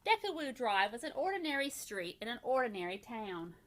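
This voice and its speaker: A woman reading a children's bedtime story aloud like a first grade teacher, with expressive, deliberate storytelling. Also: a little high.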